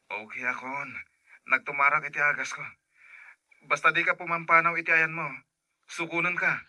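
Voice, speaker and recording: A man's voice: on the high side, flat delivery, normal speed, sharp tone, no echo. A man playing a devoted, protective young boyfriend in an audio drama, earnest and coaxing. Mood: worried, insistent.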